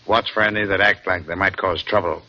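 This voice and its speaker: An actor playing an authoritative, decisive ship's captain, firm and businesslike in 1940s radio drama style. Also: male voice, low-pitched, fairly even intonation, fairly quick, natural voice. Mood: grave, commanding. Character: brisk, decisive male ocean liner captain. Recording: muffled, faintly noisy old radio recording.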